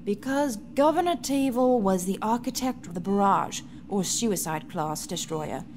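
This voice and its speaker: A woman's voice: medium pitch, expressive, a little slow, background noise, lightly acted. Composed, grave noble rebel leader, acted by a woman. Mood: grave briefing. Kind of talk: science fiction audio drama acting.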